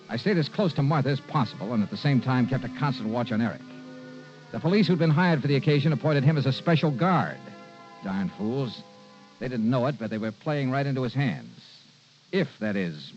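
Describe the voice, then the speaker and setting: A man's voice: medium pitch, darkish tone, lightly acted. An actor playing a wry, hard-boiled insurance investigator narrating his case in an old radio noir drama. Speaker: lead detective and narrator. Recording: dull vintage radio recording.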